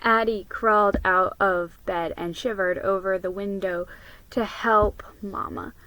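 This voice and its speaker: A woman doing a dramatic reading of a children's book, in a deliberate way. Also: mid-range voice, fairly even intonation, pushed and bright, no room sound, low-quality recording. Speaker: vlogger reading books aloud.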